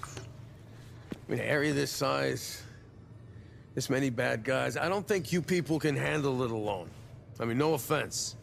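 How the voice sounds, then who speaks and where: A man acting as a weary, blunt security captain in a video game, skeptical and plain-spoken, with a gravelly voice. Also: medium pitch, a little slow, long pauses, a little hiss or hum. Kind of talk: dramatic game cutscene acting.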